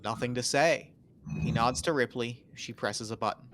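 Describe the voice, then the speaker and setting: A man's voice: mid-range voice, moderate pace, fairly bright tone. A man narrating, reading out screenplay stage directions in short, scripted phrases.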